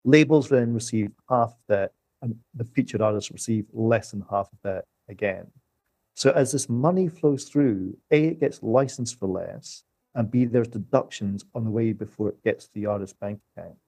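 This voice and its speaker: Guy with a lowish voice breaking stuff down step by step, emphatic, really dry recording. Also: normal speed, mellow, no room sound. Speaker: economist guest. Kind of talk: explanatory podcast commentary.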